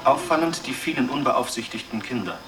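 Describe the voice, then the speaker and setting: A man's voice: mid-range voice, expressive, brisk, natural voice. A man playing a matter-of-fact crime documentary narrator in a radio drama, with clipped, sober scripted delivery. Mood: sober, factual. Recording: distant voice under loud music.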